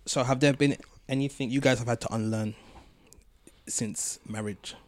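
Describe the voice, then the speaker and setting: A man's voice: mid-range voice, steady tone, normal speed. A man hosting a podcast, putting questions to the others in casual chat. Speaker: podcast host asking questions.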